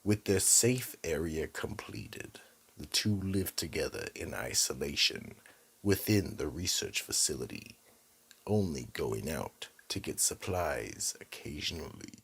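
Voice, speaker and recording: An extremely low-pitched man narrates a horror radio drama with heavy gravel in his voice. His delivery is dramatic and ominous, swinging widely in pitch. There is faint noise behind him. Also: animated, unhurried, a bit bright.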